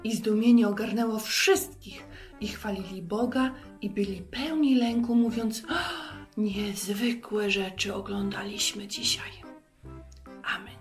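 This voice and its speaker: A woman presents Bible stories to children in an average-pitched voice. She reads with dramatic expression, like a storyteller, over faint music. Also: a little slow. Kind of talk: expressive scripture reading and talk to children.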